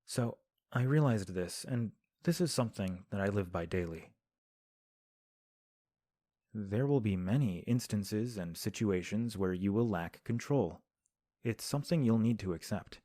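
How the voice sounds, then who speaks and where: An actor playing a composed young male prince who gives counsel in a measured, formal way, recorded at home. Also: lowish voice, expressive, mellow, no room sound, clean studio sound. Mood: calm. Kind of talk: audio drama acting.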